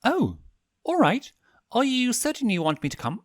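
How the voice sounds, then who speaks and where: A man voicing a refined, gentle owl demon prince with an anxiously polite manner. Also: very high-pitched, huge pitch swings, moderate pace, no echo, studio quality, voice pushed up, heavily acted. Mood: hesitant, concerned.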